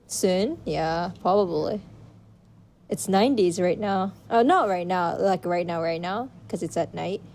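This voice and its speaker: Mellow woman co-host. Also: fairly low pitch, a little slow. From Japan. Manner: chatty, playfully contradicting. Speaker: podcast co-host. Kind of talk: casual podcast chat.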